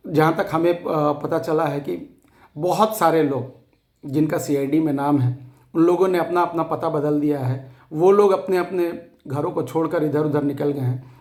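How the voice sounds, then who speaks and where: Hoarse, defiant man rallying followers. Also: on the high side, steady tone, normal speed, a little mellow, roomy sound. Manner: defiant, emphatic, repeats phrases for force. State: hoarse, scratchy throat. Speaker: activist addressing fellow depositors. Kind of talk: impassioned rallying address to followers.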